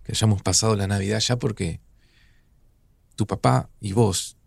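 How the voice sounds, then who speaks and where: A man playing an older Argentine father in an audio drama, speaking in a gentle, wistful, reflective way. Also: on the low side, fairly even intonation, moderate pace, gravelly, husky, no echo, studio quality, heavily acted.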